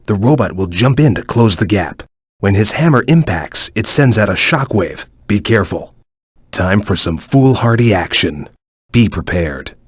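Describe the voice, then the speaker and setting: Serious careful game ninja giving hints, husky voice, really dry close recording, kinda formal. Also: male voice, on the low side, no echo. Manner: measured, formal, serious and advisory. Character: stern, watchful cartoon ninja guide, calm and cautious. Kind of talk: video game voice acting, short hint lines.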